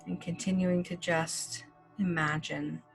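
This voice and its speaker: Female meditation guide, lowish voice, soft and slow, casual phrasing, music bed under. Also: little pitch movement, creaky. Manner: slow, gentle, soothing instructions with long pauses; casual fillers like "like". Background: soft background music.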